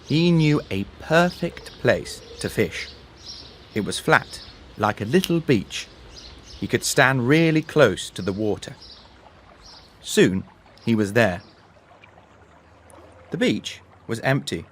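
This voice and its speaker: A man reading a children's story in a measured, deliberate, dramatic way. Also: mid-range voice, lively intonation, slight background noise. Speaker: story narrator. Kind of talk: expressive scripted storybook narration for learners.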